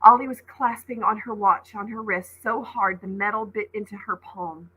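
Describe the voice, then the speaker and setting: A woman's voice: mid-range voice, fairly even intonation, moderate pace, bright, forceful tone, breathy edge, low-quality recording. A woman teacher reading a storybook aloud expressively and dramatically, with asides to her listeners.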